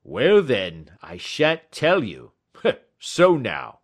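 Man voicing a gruff, blustering market salesman. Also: fairly low pitch, very animated, takes it slowly. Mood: irritated, blustering. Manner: blustering, snappish, emphatic. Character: gruff, hot-tempered cocksure market poultry salesman. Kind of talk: audiobook character dialogue.

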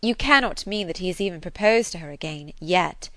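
A woman reading the lines of a lively young heroine in an audiobook, quick and eager, full of exclamations. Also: fairly low pitch, dry recording. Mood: astonished.

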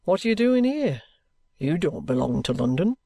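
Guy voicing a stern old-school detective, firm and probing, a bit higher and louder than his reading voice, dry close sound. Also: sing-song, gravelly, no room sound, full character voice. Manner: brisk, probing questions, firm then softening. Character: stern watchful male police detective, middle-aged.

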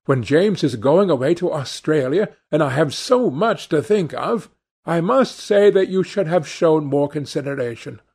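A man's voice: fairly high pitch, animated, normal speed, a little mellow, husky, voice pushed up. Man putting on a plaintive, theatrical genteel mother. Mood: fretful, reproachful. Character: affected, self-dramatising middle-aged mother, former stage actress.

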